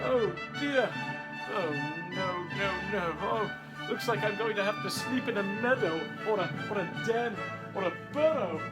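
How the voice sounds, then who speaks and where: A man voicing a fussy, excitable, theatrical lady who hosts a comic children's storytime in a fluttery, exclaiming manner. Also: sits high, unhurried, loud music behind the voice, low-quality recording. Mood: dismayed.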